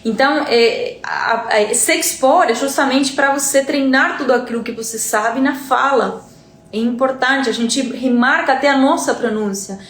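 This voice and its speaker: A Uruguayan woman whose first language is Spanish gives an earnest live-stream lesson, stumbling over repeated words and checking in with her audience. Her voice sits on the higher side. The room around her echoes. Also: moderate pace, fairly bright tone. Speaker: Spanish teacher.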